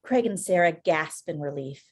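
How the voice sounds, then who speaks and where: A woman's voice: low voice, expressive, moderate pace. A woman reading scripted screenplay stage directions as narrator on a video call. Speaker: filmmaker, stage-direction reader.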